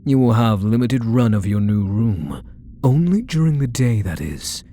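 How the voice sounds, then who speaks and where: A man playing a possessive, controlling kidnapper husband in an ASMR roleplay, deliberate and menacing, with condescending endearments. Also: fairly low pitch, animated, dark tone, a little hiss or hum. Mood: possessive, smug. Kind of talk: scripted ASMR roleplay, addressing the listener.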